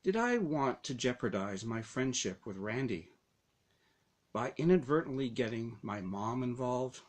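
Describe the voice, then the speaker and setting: A man narrates a scripted first-person story at an unhurried pace. His mid-pitched voice moves widely up and down, and he shapes each phrase deliberately, with a dramatic storyteller's touch. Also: very animated. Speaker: author reading own story.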